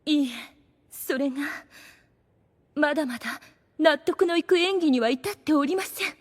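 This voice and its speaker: Actress as high-pitched, devoted lovestruck servant woman. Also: moderate pace, natural voice. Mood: humble, apologetic. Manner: very polite, humble, theatrical. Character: devoted, lovestruck female servant, adult, formal and intense. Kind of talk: Japanese anime audio drama acting. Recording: music behind the voice.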